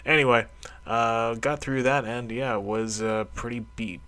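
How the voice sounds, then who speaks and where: A man's voice: medium pitch, fairly even intonation, measured pace, bright, forceful tone, clean tone. A middle-aged man talking casually in a solo audio diary, reflective and rambling, with a self-deprecating streak. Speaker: karate practitioner keeping a training log.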